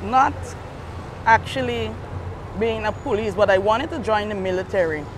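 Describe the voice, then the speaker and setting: A woman's voice: medium pitch, moderate pace. A woman police sergeant with a Guyanese accent, answering interview questions outdoors in short, matter-of-fact replies. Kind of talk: street interview. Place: outdoors by a street junction. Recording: outdoor street noise.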